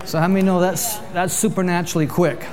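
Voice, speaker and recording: A man preaching to a church congregation, telling jokey stories in a lively way. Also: sits high, fast talker. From Canada. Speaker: visiting preacher. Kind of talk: sermon with humorous storytelling.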